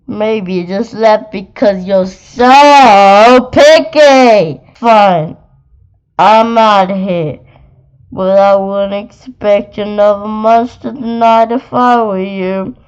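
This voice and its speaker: A woman's voice: mid-range voice, slow pace, clean tone, lightly acted. A woman voicing a big, scruffy, grumpy boy monster in a storybook, speaking emphatically. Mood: grumbling.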